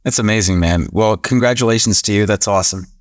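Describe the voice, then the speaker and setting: A man hosting a podcast interview, friendly and encouraging, who answers his guest with quick "yeah"s and "wow"s. His voice is very deep, and the recording is dry with no reverb. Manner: friendly, encouraging, quick back-channel replies. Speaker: podcast host.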